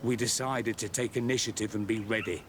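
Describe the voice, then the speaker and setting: Worn-out, bitter game captain, played by a man, lowish male voice, self-deprecating, clear ambient noise behind. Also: steady tone, a little fast, natural voice. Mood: grim, weary. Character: weary, embittered male outpost military captain. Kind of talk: video game cutscene acting.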